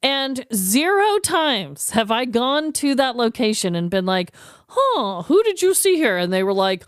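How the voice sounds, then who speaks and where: A woman co-hosting a podcast with casual, expressive banter in an informal, exclaiming way. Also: a little high, a little slow, clean tone, no room sound, studio quality. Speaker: podcast co-host.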